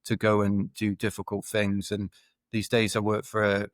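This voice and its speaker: Guy in his 40s, low monotone-ish voice, rambly podcast guest, dry close mic. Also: moderate pace, dry recording. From Wales. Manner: rambling, self-correcting, chuckles while telling stories. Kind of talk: casual podcast interview.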